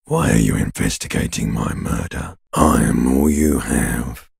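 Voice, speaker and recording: A man voicing a bloated, bitter talking corpse in a video game, with a gravelly rasping growl and a slow, taunting, insinuating manner. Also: very deep voice, a little mellow, no room sound, heavily acted. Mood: bleak, goading. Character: bloated talking male corpse, bitter, mocking, world-weary. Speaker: voice actor. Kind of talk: video game character voice lines.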